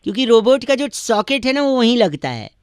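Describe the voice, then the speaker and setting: A man's voice: very high-pitched, sing-song, brisk, smooth, no echo, clean studio sound. A man voicing a cheeky, mock-polite prankster who makes comic radio prank calls in an earnest, rambling way. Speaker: radio prank-call host. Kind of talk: comic prank phone call.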